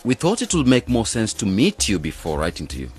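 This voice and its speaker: Polite young graduate in a radio play, deep-ish but really lively pitch, bit of music. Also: male voice, a little fast. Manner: polite, earnest. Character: earnest, diplomatic young male agronomist graduate. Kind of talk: radio drama acting.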